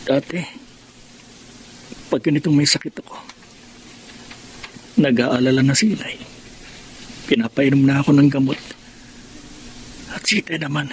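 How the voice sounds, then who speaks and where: An actor playing a lonely, ailing, homesick young son in a Filipino radio drama, plaintive and Filipino-accented. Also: male voice, medium pitch, slight background noise, natural voice, poor audio. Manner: plaintive, self-pitying.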